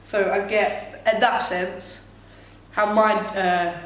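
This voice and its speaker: A woman's voice: mid-range voice, little pitch movement, slow pace, recorded from a distance. A young woman chatting on a podcast, thinking aloud in a reflective way. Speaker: podcast co-host, actor. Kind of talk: casual chat. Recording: very echoey room, faint noise.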